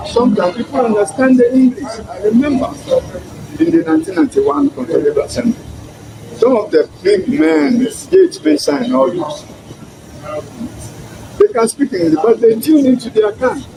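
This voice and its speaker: An older man with a Ghanaian accent giving his views in an interview, with emphatic, deliberate phrasing. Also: very high-pitched, big pitch swings. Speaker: emeritus professor and interviewee. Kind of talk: spoken commentary in an interview. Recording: clearly noisy location sound.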